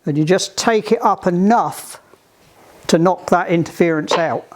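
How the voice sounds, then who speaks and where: A man giving hands-on instruction to a group in a halting, deliberate, emphatic way, on an amateur recording. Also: high-pitched. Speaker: sailing club instructor giving radio training.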